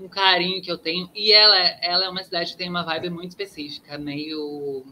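Bright-voiced Gaúcho woman on a video call. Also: on the low side, a little hiss or hum, low-quality recording. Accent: Gaúcho Brazilian Portuguese. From southern Brazil. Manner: reflective, rambling, frequent tag questions. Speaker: guest artist. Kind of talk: casual interview talk. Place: home room with plants, indoors.

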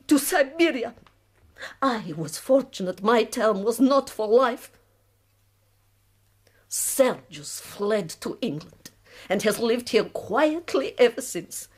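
An actress playing a proud, weary middle-aged revolutionary woman in a radio drama, speaking slowly and deliberately. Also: on the high side. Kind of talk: BBC radio drama acting.

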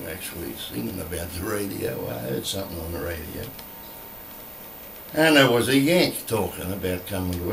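Croaky older Australian man rambling. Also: deep voice, noisy background. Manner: blunt, swears freely, drawling storyteller. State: croaky voice after flu. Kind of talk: casual rambling monologue. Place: small home room with recording gear. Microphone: vocal mic on stand. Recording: roomy, from a distance.

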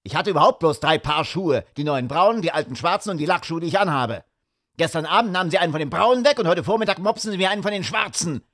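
Figure: a man doing a furious blustering country gentleman. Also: on the high side, expressive, quick pace, voice pushed up. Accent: broad rural dialect. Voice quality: rough broad dialect, blustering. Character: angry blunt middle-aged gentleman, country dialect.

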